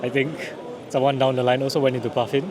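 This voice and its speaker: A man's voice: mid-range voice, little pitch movement. Chatty Singaporean man at a busy tournament. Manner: chatty, upbeat, quick affirmations. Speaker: vlogger and tournament player interviewing opponents. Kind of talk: casual on-the-spot interviews and vlog narration. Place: busy card game tournament venue. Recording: busy crowd noise behind. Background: crowd chatter.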